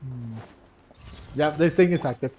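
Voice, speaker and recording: Unhurried, soft-toned man playing a game. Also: on the high side. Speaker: gaming video creator, player. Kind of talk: casual game playthrough commentary, reading dialogue aloud. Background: game music.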